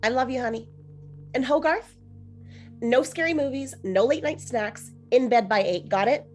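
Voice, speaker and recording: Lady as a loving mom, high voice, tender but kinda bossy, table read. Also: normal speed, faint music behind, lightly acted. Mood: loving, firm. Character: affectionate, firm working mother of young boy. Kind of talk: amateur screenplay table read.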